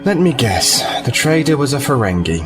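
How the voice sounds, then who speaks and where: A man's voice: medium pitch, big pitch swings, normal speed, over music, natural voice. A man playing a sardonic starship crewman in a sci-fi audio drama.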